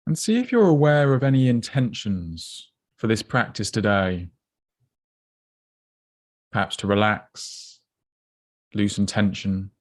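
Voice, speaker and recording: Unhurried man guiding a meditation. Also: lowish voice, animated. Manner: gentle, unhurried, instructive, with pauses. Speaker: meditation guide. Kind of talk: guided body scan meditation.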